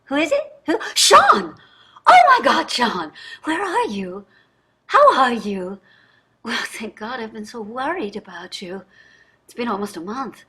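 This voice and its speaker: An actress playing a privileged, jaded middle-aged wife in a staged play reading, speaking in a theatrical, effusive way. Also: female voice, medium pitch, bright, forceful tone, husky. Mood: anxious, relieved. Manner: theatrical, effusive, drawling endearments. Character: wealthy, jaded, privileged wife on a dystopian luxury ship.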